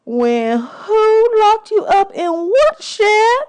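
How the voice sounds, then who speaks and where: A woman's voice: very high-pitched, sing-song, very slow, darkish tone, pitched above the performer's own voice, exaggerated voice. A woman reading a storybook, voicing a doting, motherly woman singer who drawls endearments and exclaims with concern. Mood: alarmed, indignant. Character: warm, motherly adult woman jazz singer, expressive and doting.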